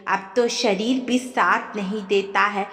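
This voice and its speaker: Woman playing a weary old mother-in-law, slow. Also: medium pitch, fairly even intonation. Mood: weary resignation. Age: older. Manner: weary, plaintive, gentle. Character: frail, ailing, plaintive elderly mother-in-law. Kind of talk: Hindi family audio story. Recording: echoey, long reverb.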